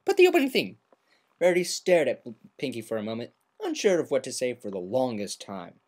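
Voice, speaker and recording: A woman's voice: very deep voice, very animated, fairly quick, mellow. A woman narrating fan fiction as an amateur, in a semi-dramatic storytelling style.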